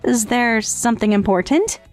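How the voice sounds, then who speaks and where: Woman acting, high-pitched young step-sister, coy, devoted, teasing, manga dub romance comedy, light music behind. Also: normal speed, a little mellow, lightly acted.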